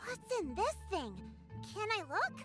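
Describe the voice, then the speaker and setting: A woman voicing an eager little girl who is excited and wide-eyed. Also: very high-pitched, unhurried, full character voice. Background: game music.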